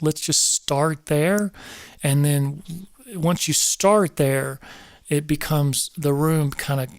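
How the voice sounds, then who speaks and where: A man's voice: fairly high pitch, darkish tone, dry recording, studio quality. A middle-aged man from North Georgia, talking casually and earnestly to viewers of his live show, rambling and repeating himself with preacherly asides. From North Georgia, US South. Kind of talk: casual livestream talk to viewers.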